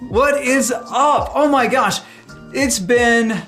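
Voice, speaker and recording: A man hosting a coaching call in an upbeat way, talking in quick bursts with frequent fillers. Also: very high-pitched, a bit bright, soft background music. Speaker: host and business coach.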